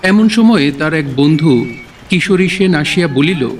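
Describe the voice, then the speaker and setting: A man's voice: a little high, animated, moderate pace, far from the mic. A man narrating an audio drama in a formal, literary storytelling style.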